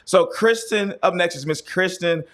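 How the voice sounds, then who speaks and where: A man's voice: high-pitched, normal speed, fairly bright tone. A man hosting a live panel in an informal, chatty, joking way. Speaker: host of a live health panel. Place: inside a wellness center.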